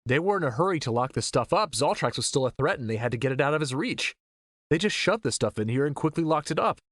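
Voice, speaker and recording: A man's voice: a little high, animated, fast, fairly bright tone, no echo, studio quality. A man playing an earnest, plain-spoken, easily frustrated young hero in a space audio drama.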